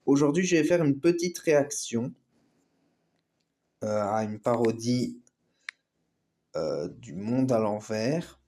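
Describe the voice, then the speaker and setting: A man's voice: medium pitch, animated, slow pace, pauses often, warm tone, slight background noise. A man hosting a casual game show reaction video, rambling with many fillers. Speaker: YouTube reaction host.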